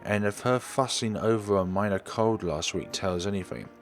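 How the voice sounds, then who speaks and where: A man reading first-person story narration aloud for a let's play, expressively but haltingly, sometimes stumbling and correcting himself. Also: on the low side. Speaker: let's player reading a visual novel aloud. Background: background music.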